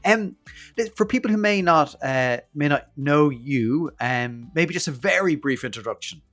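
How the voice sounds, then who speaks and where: A man hosting a marketing podcast, friendly and quick to laugh. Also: fairly high pitch, expressive, a bit bright, faint music behind. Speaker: podcast host.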